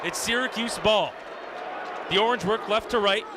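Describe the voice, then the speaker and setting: A man's voice: sits high, moderate pace. A young man calling live sports on the radio in clipped, vivid present-tense phrases. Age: young adult. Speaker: radio play-by-play announcer. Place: live at a lacrosse game. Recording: noisy live stadium broadcast. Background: venue ambience and noise.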